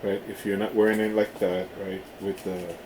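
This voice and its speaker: Low-voiced man in a noisy, echoey room. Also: little pitch movement. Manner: halting, often checking in with "right?". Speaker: product reviewer. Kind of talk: casual hands-on unboxing demonstration. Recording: roomy echo with clear background noise.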